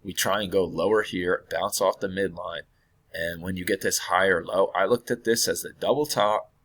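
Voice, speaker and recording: A man walking through a trading chart in a casual, hesitant way, hoarse and losing his voice from illness, often clearing his throat and correcting himself. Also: deep voice, moderate pace, a bit bright. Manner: thinking aloud, hesitant with many fillers and self-corrections. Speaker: trading analyst.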